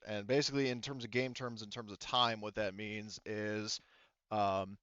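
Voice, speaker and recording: Male game master, low smooth voice, halting casual narration, dry lo-fi sound. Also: little pitch movement, a bit bright, no echo. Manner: halting, rambling explanations, casual swearing.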